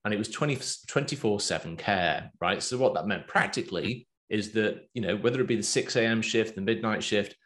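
English-accented male host, low voice, animated and talkative. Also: a little fast, hardly any pauses. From England. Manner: animated, talkative, loose with fillers. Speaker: podcast host and business coach. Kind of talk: casual business chat.

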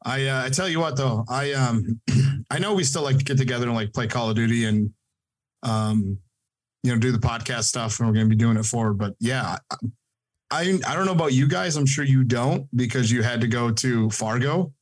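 A man's voice: mid-range voice, brisk, poor audio. A man in his thirties co-hosting a casual podcast, joking and teasing his friends with plenty of swearing. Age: 30s. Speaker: podcast co-host.

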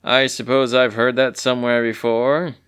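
A man's voice: mid-range voice, flat delivery, moderate pace, a bit bright, clear, resonant tone, lightly acted. A man voicing a bored male bird gate guard with a dry tone.

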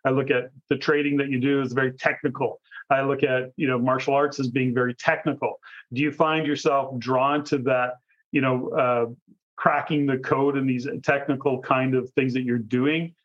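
A man's voice: medium pitch, little pitch movement, moderate pace. Male podcast interviewer, dull lo-fi sound. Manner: long, rambling, discursive questions. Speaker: podcast host interviewing a guest. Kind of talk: conversational interview. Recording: dull, lo-fi audio.

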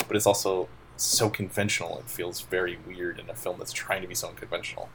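Deep-voiced man reviewing a film. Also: level tone, fast talker, fairly bright tone, slight background noise, low-quality recording. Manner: talks in long, winding analytical turns. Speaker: podcast co-host. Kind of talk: casual film review chat. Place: home computer setup.

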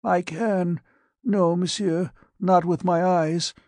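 A man's voice: sits high, fairly even intonation, darkish tone, no echo, voice pushed up, exaggerated voice. A man voicing a withered, whispering patient. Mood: weak, dazed. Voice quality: cracked whisper. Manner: weak, halting. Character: frail withered young man aged like an ancient.

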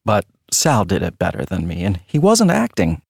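An actor playing a flippant, showy true-crime tour guide, narrating with wry theatrical flair. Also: male voice, low-pitched, huge pitch swings, vocal fry, a little mellow, husky, dry recording, studio quality, heavily acted. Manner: theatrical, wry, drawn-out storytelling.

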